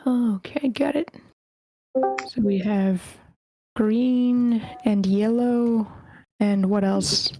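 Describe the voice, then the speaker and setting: A woman's voice: fairly high pitch, drawn-out words, pauses often, mellow, a little hiss or hum, rough recording. A woman hosting an online table read, casually announcing and organizing things. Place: online voice meeting.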